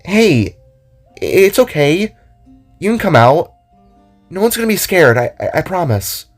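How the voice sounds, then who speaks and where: A man voicing a playful, rebellious young female guitarist with a casual, halting way of talking in an audio drama. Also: high-pitched, big pitch swings, soft background music, voice pushed up, heavily acted. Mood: gentle reassuring.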